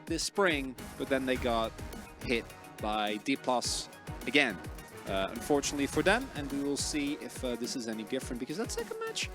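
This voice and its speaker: A man's voice: mid-range voice, big pitch swings, normal speed, music in the background. A young man giving casual esports analysis, rambling and correcting himself as he goes, with lots of fillers. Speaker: esports caster.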